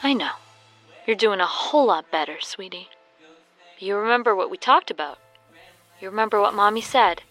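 Woman acting a bright-voiced, caring mother. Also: medium pitch, lively intonation, normal speed, pauses often, faint music behind, lightly acted. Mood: reassuring, hurried. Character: caring, hurried young mother soothing her child. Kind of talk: horror audio drama acting.

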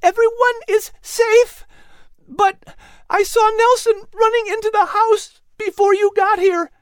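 Man as an urgent, high-voiced young mother. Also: slow, no room sound. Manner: urgent, raised voice. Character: frightened, pleading young mother, shouting.

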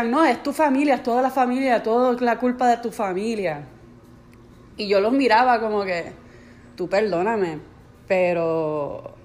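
A young woman from Puerto Rico with a Puerto Rican accent, telling stories in a casual, animated vlog monologue and dropping English phrases into her talk. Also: mid-range voice. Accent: Puerto Rican Spanish. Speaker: vlogger.

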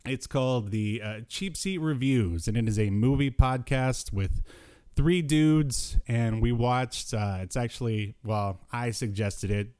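A man hosting a podcast in casual conversation, talking in a halting, jokey way. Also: mid-range voice, darkish tone, clear, resonant tone, dry recording. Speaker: podcast host. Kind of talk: casual chat about cartoons and movies.

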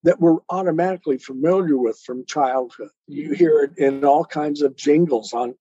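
A man with a husky, slightly dark voice talks reflectively as a guest author. He explains things in a conversational way. The sound is dry and somewhat muffled. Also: a little high, normal speed, dry recording. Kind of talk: reflective podcast interview talk. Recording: dull, muffled lo-fi audio.

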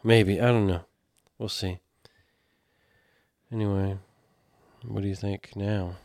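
A man hosts a podcast in relaxed, casual chat, often hesitant and trailing off with fillers. His voice sits very low, and the sound is dry and close to the mic. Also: slow pace, no echo. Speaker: podcast host, mechanic.

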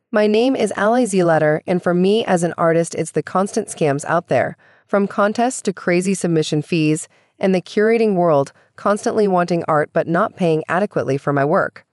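A woman's voice: fairly low pitch, normal speed, darkish tone, no room sound, studio quality. A woman, an artist, delivering a scripted personal statement in a formal, polite way. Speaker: artist, listener contributor.

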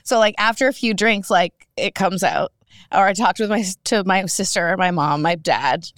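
A woman's voice: mid-range voice, moderate pace, no echo. A woman chatting playfully and informally as a co-host in a studio. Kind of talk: casual chat.

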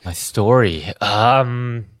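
A young American man telling his life story as a podcast guest, talking in a relaxed, rambling way with many restarts. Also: fairly low pitch, drawn-out words, no room sound, clean studio sound. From United States. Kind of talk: casual conversation.

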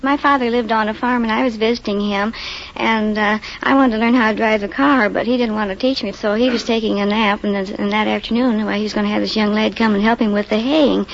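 A woman's voice: mid-range voice, steady tone, fast, vocal fry, few pauses. An American woman from Illinois chatting as a quiz contestant, telling things in a plain, rambling way. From Rock Island, Illinois, USA. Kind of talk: casual chat answering a host. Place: radio studio before a live audience. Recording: muffled old-time radio, faint audience and hiss.

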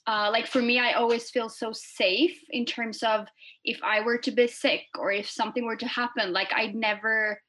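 A woman's voice: a little high, level tone, moderate pace, fairly bright tone. A young woman from Norway, a guest speaking casually over a video call, hesitant with many fillers and restarts. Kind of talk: casual interview chat.

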